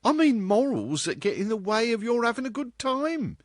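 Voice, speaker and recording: A cheeky eighteen-year-old office girl from an audiobook, put on by a man. The voice jumps up very high and swoops around, breezy, slangy and exuberant. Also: sing-song, moderate pace, no echo, clean studio sound.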